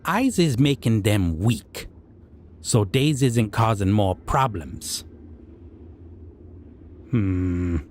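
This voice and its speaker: A man's voice: lowish voice, expressive, extremely slow, long pauses, a little mellow, a little hiss or hum. A man voicing a civilized goblin king in an audio roleplay, sly and menacing yet amused, musing in broken grammar with hums. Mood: stern, musing. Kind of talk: character audio roleplay addressed to listener.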